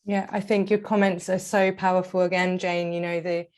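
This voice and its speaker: A woman's voice: on the low side, flat delivery, moderate pace, slight background noise. A woman hosting an online webinar on a video call, inviting people to speak and stumbling through repeats and fillers. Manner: warm encouraging tone, many fillers and repeats. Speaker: webinar host. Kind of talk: facilitating an online discussion.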